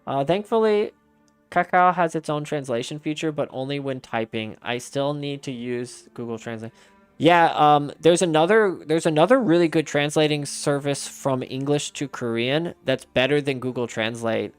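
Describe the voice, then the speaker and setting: A man's voice: fairly high pitch, moderate pace, clean tone, dry recording, faint music behind. A man streaming a game and chatting casually with his viewers, answering their messages aloud in a rambling way with frequent restarts.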